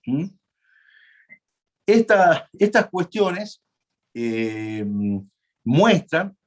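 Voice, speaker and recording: Man lecturing, lots of fillers. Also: mid-range voice. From Argentina. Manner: conversational, asks the class questions, frequent "eh" fillers and restarts. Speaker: law lecturer. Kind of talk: university lecture.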